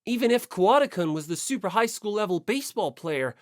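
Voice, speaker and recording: Expressive audiobook narrator, very dry sound. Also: medium pitch, a little fast, dry recording. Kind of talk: expressive first-person story narration.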